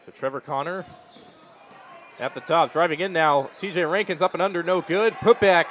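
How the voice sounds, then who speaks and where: A man with a fairly high voice announces a basketball game play by play. He is recorded live in a gym, with loud background noise and bouncing balls around him. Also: moderate pace.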